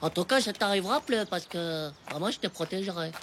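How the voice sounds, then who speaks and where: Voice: medium pitch, brisk, a bit bright, lightly acted. A cocky ten-year-old cartoon schoolboy, played by an adult actor, speaking in slangy bursts. He gets defensive and stammers when flustered, over noticeable background noise. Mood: awkwardly earnest. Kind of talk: animated cartoon dialogue.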